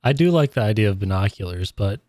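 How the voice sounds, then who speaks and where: A man's voice: on the low side, animated, fast talker, darkish tone, dry recording, studio quality. A man co-hosting a podcast in casual chat, opinionated and arguing his point with hesitant restarts. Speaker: podcast co-host.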